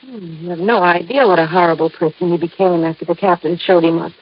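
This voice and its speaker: A muffled vintage radio play recording of a spirited young American woman. She is earnest and coy, with a husky edge to her dramatic, anxious delivery. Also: lowish voice, quick pace. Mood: grave, earnest. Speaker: actress in a radio detective series.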